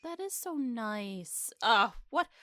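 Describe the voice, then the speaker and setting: A woman's voice: a little high, very animated, slow, a bit bright, no echo, clean studio sound. A woman co-hosting a podcast, chatting casually and reacting in a playful, joking way. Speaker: podcast co-host. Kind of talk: casual chat, reacting to co-host.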